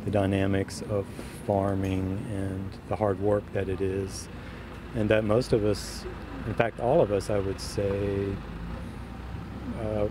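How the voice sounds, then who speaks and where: A man's voice: on the low side, slow. An older man, a farmer, speaking plainly in an outdoor interview at a farmers market. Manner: flat, plain-spoken. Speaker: farmer vendor. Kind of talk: street interview. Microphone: handheld mic. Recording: outdoor background noise.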